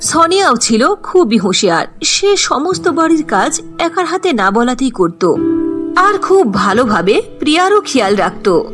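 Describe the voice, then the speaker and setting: A woman's voice: high voice, big pitch swings, moderate pace. A woman narrating a scripted children's fairy tale as storyteller. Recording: clear music under the voice.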